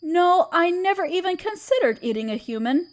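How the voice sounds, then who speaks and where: A woman playing a gentle, protective half-spider queen in a roleplay audio drama, speaking tenderly and soothingly to the listener. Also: very high voice, lively intonation, normal speed. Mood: amused, reassuring. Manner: tender, soothing, hesitant and coaxing. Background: chirping crickets.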